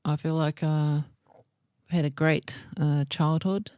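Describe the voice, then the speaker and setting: Middle-aged New Zealand woman, slow and gravelly, fond storyteller, dry close sound. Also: deep voice, fairly even intonation, warm tone, dry recording. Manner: unhurried, reminiscing, fond. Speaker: guest, host's mother. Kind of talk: casual interview.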